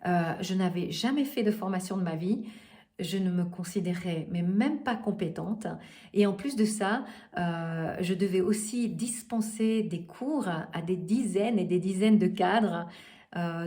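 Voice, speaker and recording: A woman's voice: mid-range voice, dark tone. Woman, middle-aged, life coach; earnest, hesitant motivational delivery with frequent fillers. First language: not French.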